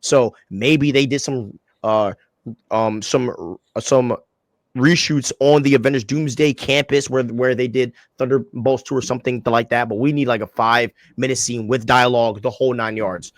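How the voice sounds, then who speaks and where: A man's voice: mid-range voice, fairly even intonation, moderate pace, no echo, clean studio sound. A young man co-hosting a podcast, talking casually in slang-heavy, rambling bursts. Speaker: podcast co-host.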